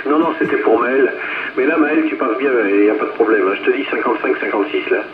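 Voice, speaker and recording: A man's voice: mid-range voice, fast. Muffled man on noisy two-way radio. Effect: two-way radio transmission. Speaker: amateur two-way radio operator. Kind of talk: casual radio contact chat.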